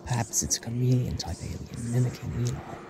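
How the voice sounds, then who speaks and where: A man's voice: mid-range voice, a little fast, gravelly, over music, lightly acted. A man playing an anxious, searching traveller who talks urgently to himself in a fan audio drama. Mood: uneasy, puzzled.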